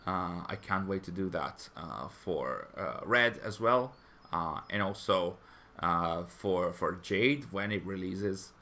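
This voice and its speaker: A man with a fairly low voice and a bright, forceful tone hosts a live fan stream. He talks in a loose, rambling way, pausing often as he answers viewers' comments. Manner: rambling, hesitant, conversational. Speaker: fan channel stream host.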